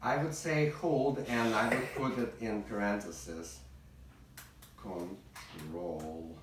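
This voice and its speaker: A man's voice: fairly low pitch, slow pace. Middle-aged Russian-accented male violin teacher, patient and coaxing; distant, echoey, noisy room recording. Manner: patient, coaxing, slightly broken English. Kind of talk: private music lesson.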